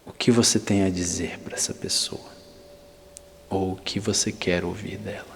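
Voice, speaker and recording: A man with a Brazilian accent narrating an ASMR guided visualization in a soothing, deliberate manner with long pauses. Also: deep voice, level tone. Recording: long reverb, faint hiss and ambience.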